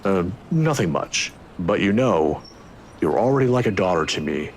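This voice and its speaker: A woman voicing a kindly old male professor, courteous and gently teasing, for a visual novel. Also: extremely low pitch, lively intonation, moderate pace, a little hiss or hum, voice pushed down. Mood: gentle, advising.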